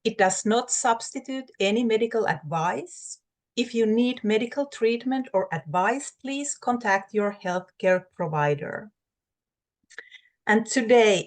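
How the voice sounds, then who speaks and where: A Finnish woman whose first language is Finnish, hosting an interview and introducing her guest in English with careful phrasing. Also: on the high side. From Finland. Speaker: host.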